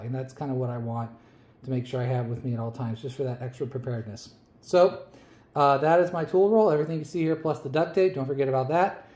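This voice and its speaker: A man casually showing viewers the tools he keeps in his truck, chatty and relaxed, with plenty of ums and uhs. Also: mid-range voice, reverberant. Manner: relaxed, chatty, many fillers. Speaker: vlogger. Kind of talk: casual show-and-tell vlog.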